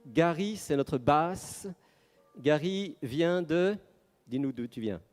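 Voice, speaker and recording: A man from France introducing members of a vocal group to a church congregation, in a friendly, halting way with fillers. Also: on the high side, lively intonation. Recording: long church hall reverb, music behind.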